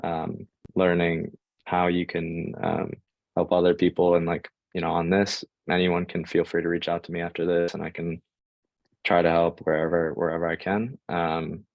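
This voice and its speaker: A man's voice: sits low, flat delivery, moderate pace, gravelly, no echo, lo-fi sound. A young American man giving informal advice as a panel guest, with hesitant speech full of fillers. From United States. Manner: hesitant, filler-heavy delivery. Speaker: guest sharing job-search advice.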